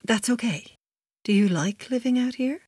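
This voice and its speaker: An actress playing a steady Irish police officer who questions in a reassuring, coaxing way in an audio drama. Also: female voice, on the high side, normal speed, breathy edge, no room sound, studio quality. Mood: gently coaxing. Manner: reassuring, probing. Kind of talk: naturalistic audio drama acting.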